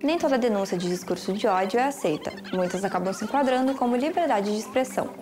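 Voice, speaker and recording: A woman's voice: medium pitch, lively intonation, quick pace. A young Brazilian woman presenting with scripted documentary narration. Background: music.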